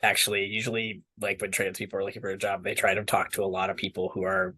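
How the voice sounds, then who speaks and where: A man's voice: low-pitched, level tone, fast talker, pushed and bright, slight background noise. A young man speaking candidly as an interview guest, rambling with many fillers and restarts. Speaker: interview guest, water professional.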